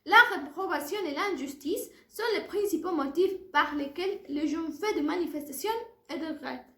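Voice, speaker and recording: A young woman with a high voice and a Mexican accent, a native Spanish speaker. She delivers a prepared student presentation in a careful, formal way. The sound is noticeably echoey, as from a reverberant room. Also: moderate pace. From Puebla, Mexico. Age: early 20s. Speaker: language student. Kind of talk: prepared oral presentation for a French class.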